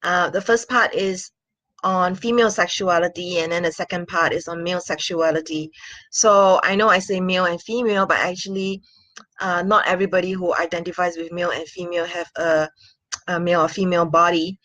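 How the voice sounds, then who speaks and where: A woman's voice: on the low side, steady tone, a little fast, dry recording, low-quality recording. Earnest woman in her forties. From Singapore. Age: 40s. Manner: earnest, with frequent fillers and gentle laughs. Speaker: sex and intimacy coach. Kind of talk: casual talking-head vlog. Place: small room indoors.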